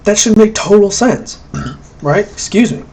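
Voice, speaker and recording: Man lecturing, conversational, slightly high voice, faint background hiss. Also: lively intonation, a little slow, darkish tone. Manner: conversational, asks "right?" often, acts out quotes. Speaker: college instructor. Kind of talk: recorded lecture.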